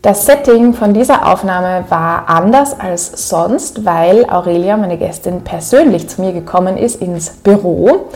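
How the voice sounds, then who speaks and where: A woman's voice: lowish voice, measured pace, a little mellow. A young woman with an Upper Austrian accent, hosting a podcast and chatting to listeners with frequent ums. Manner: chatty, frequent ums, friendly direct address. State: recovering from a cold. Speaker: podcast host. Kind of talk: solo podcast intro.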